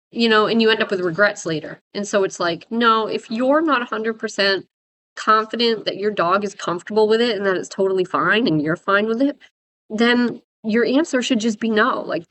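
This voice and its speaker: A woman dog trainer, raspy from a head cold, giving blunt, emphatic advice in casual talk to viewers. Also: mid-range voice, fairly bright tone. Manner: emphatic, blunt, repeats "right?" and "guys".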